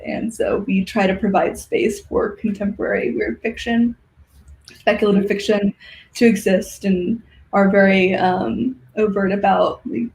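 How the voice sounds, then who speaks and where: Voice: mid-range voice, gravelly, a little mellow. Echoey, thoughtful guest in casual chat. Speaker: guest, organizer of a fiction podcast and symposium. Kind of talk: casual conversational interview. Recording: roomy, echoey sound.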